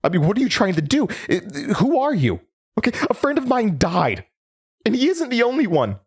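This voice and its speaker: A man's voice: high voice, huge pitch swings, brisk, husky, full character voice. An actor in an audio drama playing a troubled, haunted young man, bitter and defensive, stammering and sarcastic. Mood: agitated, pleading.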